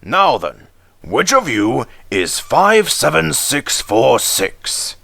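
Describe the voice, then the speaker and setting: Stern storybook railway boss played by a man: slow, gravelly, wildly swooping, commanding. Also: mid-range voice, sing-song, bright, forceful tone, no room sound, pitched above the performer's own voice, heavily acted. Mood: grim, suspicious. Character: stern, imperious male railway controller, exasperated boss.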